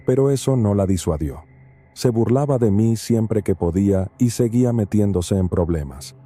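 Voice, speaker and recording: Male narrator, low and very dark voice, steady measured read for a horror story channel. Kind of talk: scripted first-person narration.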